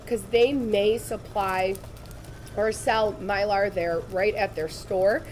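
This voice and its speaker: A woman, slightly raspy from laryngitis, giving a chatty, upbeat live sewing tutorial in a studio. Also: mid-range voice, a little mellow, slight background noise, rough recording. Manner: chatty, upbeat, addresses viewers directly. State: recovering from lost voice. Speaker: embroidery demonstrator. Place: studio set with shelves, indoors.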